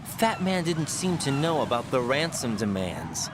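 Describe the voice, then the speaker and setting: An actor playing a young special-forces rookie in a video game, earnest, dutiful and full of questions. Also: male voice, mid-range voice, big pitch swings, unhurried, background noise. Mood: earnest, concerned. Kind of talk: video game dialogue acting.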